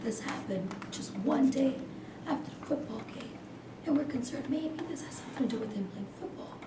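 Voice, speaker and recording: A woman's voice: high-pitched, expressive, a little fast. Distant, echoey worried mother played by a woman. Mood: concerned. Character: worried, protective mother of a teenage athlete. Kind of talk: staged play reading. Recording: echoey room, distant mic, noisy background.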